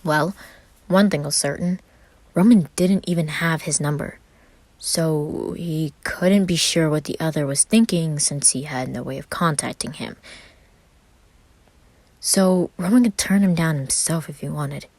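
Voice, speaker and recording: Female narrator for story podcast: deep register, expressive scripted reading, very dry sound. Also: a little slow, no echo.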